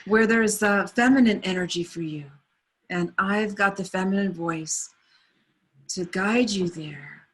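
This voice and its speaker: A woman guides a meditation in a soft, soothing voice, and she never hurries. Her tone is husky and a little breathy. She speaks over a video call. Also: mid-range voice, unhurried.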